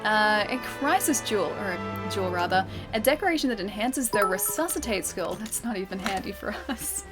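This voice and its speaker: A woman's voice: mid-range voice, expressive, normal speed, few pauses, over music. A woman from Western Australia with an Australian accent, chatting casually with viewers while she plays a game, often laughing and answering chat. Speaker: streamer.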